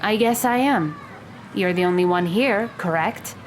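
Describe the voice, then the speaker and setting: A woman playing a guarded high school girl who speaks in precise, matter-of-fact and formal words in an audio drama. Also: unhurried, slight background noise, natural voice. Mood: wary, blunt.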